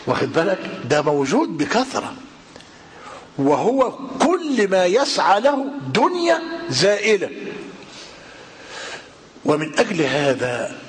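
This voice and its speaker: An older man with an Egyptian Arabic accent, giving a religious lesson in a hall and questioning his listeners, slowing down when he quotes classical texts. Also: a little high. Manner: lively rhetorical questioning of the audience. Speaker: preacher. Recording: reverberant hall with faint noise.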